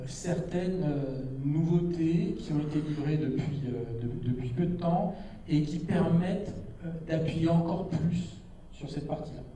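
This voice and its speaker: A man in his fifties giving a product keynote on stage with a handheld mic, brisk with frequent hesitations. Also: fairly high pitch, mellow, recorded from a distance, a little hiss or hum. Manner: brisk, with many "euh" hesitations. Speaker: keynote presenter. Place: large dark stage with a big screen. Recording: echoey hall, voice from afar.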